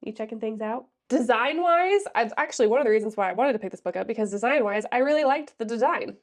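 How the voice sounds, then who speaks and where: A woman's voice: on the high side, expressive, fast talker. Congested, sassy woman reviewing a book. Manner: sassy, chatty, trails off with fillers. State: congested from allergies. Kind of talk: casual book review vlog.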